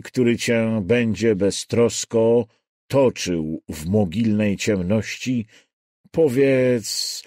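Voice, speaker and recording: A man reads as an audiobook narrator with deliberate, dramatic phrasing. His voice sits on the low side and moves widely in pitch. He takes his time, and the recording is dry and close. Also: darkish tone, dry recording. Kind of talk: scripted literary audiobook narration.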